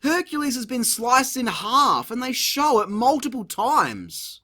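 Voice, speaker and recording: A man's voice: very high voice, sing-song, a little slow, pushed and bright, a little hiss or hum. A man hosting a comedic video review, narrating a script with sweary, exasperated sarcasm. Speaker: host of a video review show.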